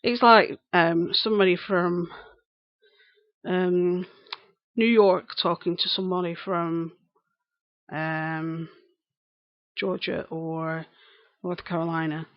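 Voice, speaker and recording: A woman's voice: on the low side, fairly even intonation, slow pace, pauses often, no room sound, lo-fi sound. Chatty woman with a broad Lancashire accent. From England. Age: 30s. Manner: chatty and rambling, repeats phrases, greets viewers by name. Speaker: live streamer. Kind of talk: casual live-stream chat, answering viewers.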